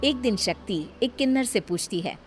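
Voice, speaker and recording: A woman narrating a cartoon horror story in a steady, plain storytelling manner. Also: fairly high pitch, normal speed, faint music behind.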